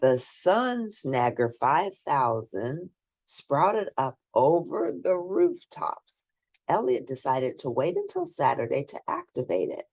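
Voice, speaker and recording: A woman with a low-pitched voice reads a children's story aloud. Her delivery is slow and very animated, swinging up and down in pitch, with theatrical stress on key words for young listeners. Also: dry recording. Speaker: storybook reader. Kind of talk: expressive read-aloud of a children's picture book.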